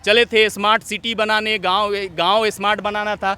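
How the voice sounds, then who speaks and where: Young man, high-pitched, forceful bright tone, earnest, speech-like phrasing, street interview. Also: level tone, moderate pace, clean tone. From Jharkhand, India. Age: young adult. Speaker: student leader being interviewed. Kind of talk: opinionated street interview answers.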